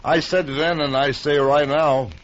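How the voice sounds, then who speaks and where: A man's voice: fairly high pitch, fairly even intonation, unhurried, fairly bright tone. A man playing a sentimental drunk in a radio western, rambling with grand admiration. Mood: tipsy, admiring. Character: tipsy, admiring male saloon drinker, sentimental. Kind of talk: old-time radio western acting. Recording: dull lo-fi radio drama recording.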